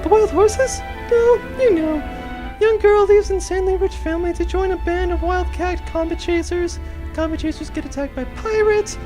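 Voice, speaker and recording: Audio drama role: young runaway noblewoman pilot, played by a woman, high voice, wry and casual, music bed. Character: young noblewoman turned privateer pilot, breezy, blunt.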